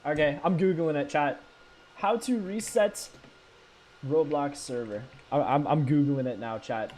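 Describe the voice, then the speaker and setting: A young man from Canada, live-streaming a flight game and chatting with viewers in a stammering, excitable way. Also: on the high side, measured pace, a little hiss or hum. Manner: animated, stammering, talks to chat. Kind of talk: live gameplay stream chat.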